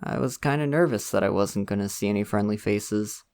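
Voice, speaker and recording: A man's voice: fairly low pitch, a little fast, gravelly, smooth. A young man voicing a friendly, slightly shy college student in an ASMR roleplay, talking casually and laughing often. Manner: casual, laughs often, soft-spoken and chatty.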